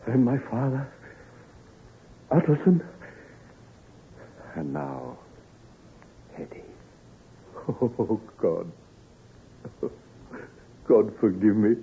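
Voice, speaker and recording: Guilty worn-out doctor in an old radio play, halting, super dramatic, tinny phone-like sound. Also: male voice, mid-range voice, vocal fry. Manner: halting, theatrical, agonised. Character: anguished, guilt-ridden gentleman scientist, weary and secretive. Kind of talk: melodramatic old-time radio acting. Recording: tinny, band-limited vintage radio recording.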